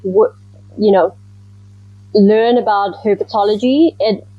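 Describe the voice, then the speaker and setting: A woman from Zimbabwe, a researcher answering interview questions in a thoughtful, measured way with frequent ums. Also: medium pitch, dry recording, highs cut off. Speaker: guest researcher.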